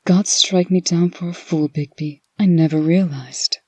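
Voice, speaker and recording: A woman's voice: fairly low pitch, fairly even intonation, unhurried, mellow, slightly rough, dry recording. A woman playing a wry, authoritative female administrator in a comic dub. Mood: remorseful.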